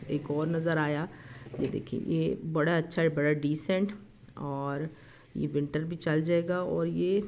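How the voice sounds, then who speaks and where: A woman's voice: low-pitched, darkish tone, slight background noise. A woman narrating a family shopping and dining vlog in a chatty, homely way, with a slightly sore throat and frequent fillers. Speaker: family lifestyle vlogger. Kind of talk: casual vlog voice-over narration. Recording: slightly dull lo-fi recording.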